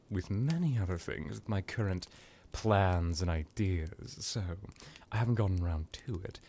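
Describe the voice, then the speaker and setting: Man playing a pompous, deep-voiced, theatrical old vampire. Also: a little mellow, full character voice. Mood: wistful. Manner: pompous, dramatic, rambling with pauses and whispered asides. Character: haughty, theatrical, centuries-old male vampire, wry and exasperated. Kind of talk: roleplay ASMR monologue to the listener.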